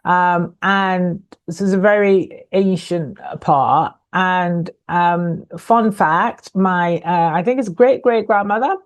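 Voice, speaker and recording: Low-voiced woman, chatty guest from Ghana. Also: takes it slowly, few pauses. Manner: chatty, laughs easily, many fillers and restarts. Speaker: guest, author and coach. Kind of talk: conversational podcast interview.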